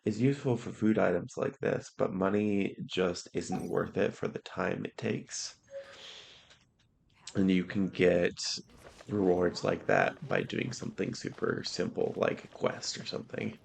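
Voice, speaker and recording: Raspy, slow-talking gameplay host man. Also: deep voice, expressive, darkish tone. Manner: laid-back, with frequent fillers and throat clearing. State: hoarse from illness. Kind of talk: casual let's-play commentary.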